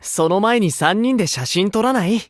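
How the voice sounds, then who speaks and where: A man playing a gentle, sentimental grown male idol in a Japanese mobile game, affectionate and excitable in manner. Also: high voice, normal speed, no room sound, clean studio sound. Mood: cheerful.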